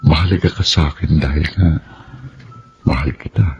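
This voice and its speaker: A devoted young suitor, speaks in a low, gentle, earnest voice. It is radio drama with dull sound and clear background noise. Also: male voice, moderate pace. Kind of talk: Filipino radio drama acting. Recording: muffled, noisy radio drama recording.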